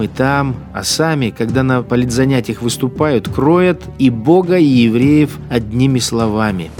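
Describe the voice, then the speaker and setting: A man's voice: medium pitch, a little mellow, lightly acted. Male actor doing a sneering wartime police thug, cynical and menacing, folksy radio play monologue, bit of music behind. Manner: colloquial, mocking, musing to himself. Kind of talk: Russian radio drama monologue.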